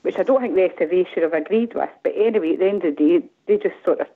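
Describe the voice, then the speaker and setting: A middle-aged woman with a Glaswegian accent calling in, rambling and animated, often asking "do you know what I mean". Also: lowish voice, racing, a little hiss or hum. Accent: Glaswegian Scottish. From Glasgow, Scotland. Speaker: caller. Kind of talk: casual phone-in chat. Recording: tinny phone line.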